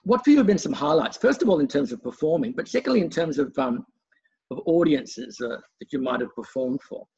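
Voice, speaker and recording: A man's voice: fairly high pitch, lively intonation, a little fast, creaky, a little mellow, husky, lo-fi sound. A man hosting a casual, chatty interview in an encouraging, effusive way. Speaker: interviewer, host.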